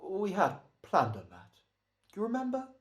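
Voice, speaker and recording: A man's voice: high voice, huge pitch swings, normal speed. A man playing a smug, careful hired killer, speaking with hesitant, mannered politeness in a radio drama. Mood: quietly smug. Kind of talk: old-time radio drama acting.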